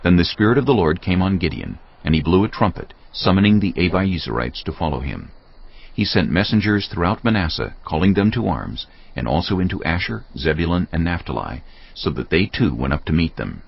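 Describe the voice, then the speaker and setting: A male narrator with a deep, creaky voice and highly animated delivery. He reads scripture as dramatic storytelling over music. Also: a little fast. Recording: muffled audio under music.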